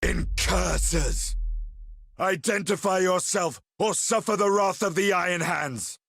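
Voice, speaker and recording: A man's voice: high-pitched, slow pace, creaky, fairly bright tone, husky, no room sound, soft background music, exaggerated voice. A man playing a proud, stern superhuman warrior captain in a video game, curt, formal and commanding. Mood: hostile challenge. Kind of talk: video game cutscene acting.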